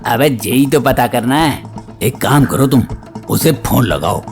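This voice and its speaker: A man's voice: medium pitch, lively intonation, measured pace. A man playing a crude, cynical small-town sidekick in an audio drama, speaking offhandedly. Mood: cynical, practical. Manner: colloquial, coarse, offhand. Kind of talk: Hindi audio drama acting. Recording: music bed under the voice.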